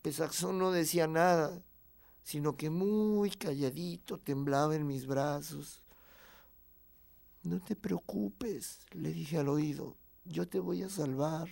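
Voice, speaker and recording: A man with a Mexican accent playing a tender young boy who tells his own story with expressive, confiding emotion. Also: a little high, a little slow, vocal fry. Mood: tender, melancholy. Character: young boy tenderly recalling his beloved piggy bank. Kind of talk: dramatic first-person storytelling.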